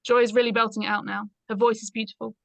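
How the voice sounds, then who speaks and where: A woman's voice: a little high, level tone, fast talker, creaky, no echo. A woman reading stage directions aloud as scripted narration in a screenplay read-through.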